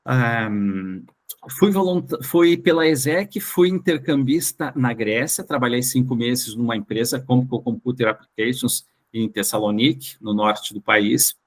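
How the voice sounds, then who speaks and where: A Brazilian man speaking as an interview guest, recounting personal experiences haltingly and often rephrasing his words. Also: medium pitch.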